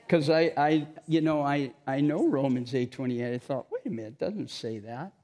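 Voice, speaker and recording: A man's voice: mid-range voice, lively intonation, moderate pace, darkish tone. A man preaching an informal sermon, chatty and self-mocking, joking with the congregation between scripture readings.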